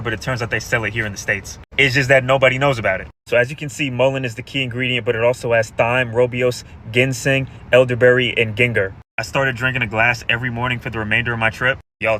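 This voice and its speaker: A man's voice: medium pitch, bright, forceful tone. A young man telling a slangy, laid-back story while promoting a tea. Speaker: product promoter. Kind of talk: casual storytelling ad. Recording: faint background noise.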